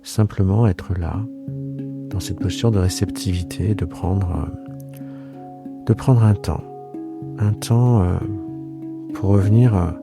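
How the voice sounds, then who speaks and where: A man guiding a yoga relaxation meditation in a soothing, unhurried way, leaving long pauses between short phrases. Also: low-pitched, gravelly, over music. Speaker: yoga nidra guide and host. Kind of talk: guided relaxation meditation, improvised.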